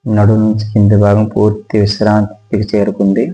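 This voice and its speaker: A man guides a relaxation meditation as a yoga instructor, speaking slowly and soothingly with pauses. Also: on the low side, completely flat delivery, warm tone, muffled recording.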